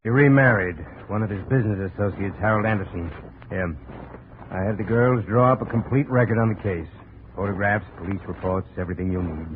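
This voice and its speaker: An actor playing a brisk, suspicious insurance chief in a 1950s radio drama, authoritative and businesslike. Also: male voice, on the low side, close to an everyday voice. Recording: tinny, noisy vintage radio recording.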